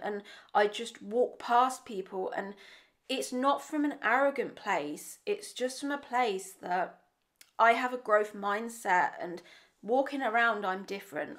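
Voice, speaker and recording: Young woman, slightly croaky, candid reflective vlog, desk mic, bedroom. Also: mid-range voice. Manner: earnest, candid, thinks aloud with small restarts. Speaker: vlogger. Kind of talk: casual reflective monologue to camera. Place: bright bedroom indoors. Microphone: desk microphone in front of her.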